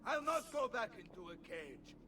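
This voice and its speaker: An actor voicing a boisterous, fierce middle-aged mercenary leader, bellowing and swaggering in a game. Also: male voice, animated, normal speed, pushed and bright, in a large hall, full character voice. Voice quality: gravelly, booming. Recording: game audio with ambient noise underneath.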